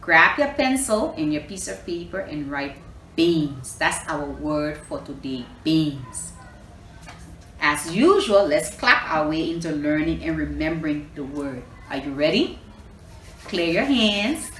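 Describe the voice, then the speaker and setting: Woman, children's story host. Very expressive, unhurried, teacherly. Roomy recording with some noise. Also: low-pitched. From Liberia. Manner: repetitive, asks listeners questions, teacherly. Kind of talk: animated children's story reading and lesson. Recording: roomy echo, clear background noise.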